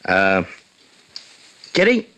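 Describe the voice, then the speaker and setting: Bashful deep-voiced marshal. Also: male voice, huge pitch swings, sharp tone, full character voice. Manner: halting, bashful, laughs nervously. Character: shy, stammering frontier marshal, grown man. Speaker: lead actor. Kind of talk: 1950s radio drama acting.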